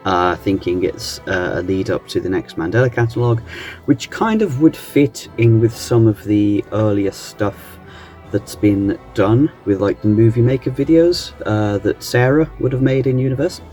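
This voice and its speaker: A man hosting a reaction channel, chatting casually in a halting, musing way. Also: on the low side, lively intonation, darkish tone, soft background music. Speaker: host of a reaction video channel. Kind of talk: casual chat reacting to analog horror videos.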